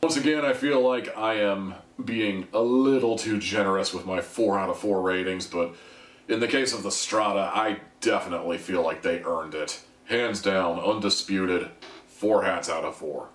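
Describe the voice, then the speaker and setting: A man's voice: low-pitched, normal speed. A man giving a casual, candid review monologue to listeners, with conversational asides. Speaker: audio drama reviewer. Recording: echoey room, distant microphone.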